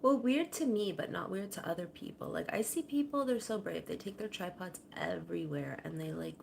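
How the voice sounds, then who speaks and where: A woman hosting a casual livestream from her home kitchen, talking softly and rambling, her sentences often trailing off quietly. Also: fairly low pitch, fairly quick, creaky, slight background noise. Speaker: livestream host. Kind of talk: casual chat to viewers. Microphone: camera on a tripod.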